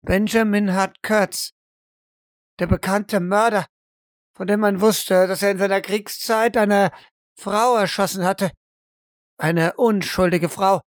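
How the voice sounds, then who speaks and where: A woman's voice: mid-range voice, steady tone, slow pace, pauses often, dry recording, close to an everyday voice. Woman as news reporter, earnest, grave, emphatic, dry close recording, audio role-play. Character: earnest female TV news reporter revealing a scandal.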